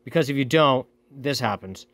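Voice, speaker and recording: A man's voice: medium pitch, animated, normal speed, creaky, fairly bright tone, no room sound. A man talking casually as he welds in his home garage, rambling and correcting himself often. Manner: rambling, self-correcting, offhand. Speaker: hobby welder, channel host. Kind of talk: casual workshop talk.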